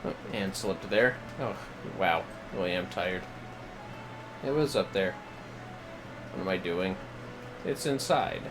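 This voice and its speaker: A man's voice: low-pitched, slow pace, pauses often, background noise. A man giving casual, slangy commentary to himself while livestreaming a video game. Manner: informal, slangy. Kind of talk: casual gaming commentary, muttering to self.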